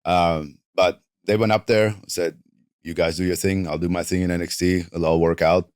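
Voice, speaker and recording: A man in his thirties from northern Italy with a South Tyrolean German-Italian accent, whose first language is a German dialect. He talks as a guest in a relaxed, rambling way, leaning on "like" a lot. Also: deep voice, moderate pace, clear, resonant tone, no room sound, studio quality. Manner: relaxed, rambling, heavy use of "like". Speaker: interview guest, professional wrestler. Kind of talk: casual interview chat. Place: studio.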